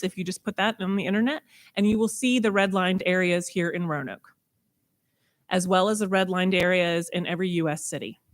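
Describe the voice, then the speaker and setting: A woman speaking informally as a guest presenter at a church service. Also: lowish voice. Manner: apologetic asides about her loud voice.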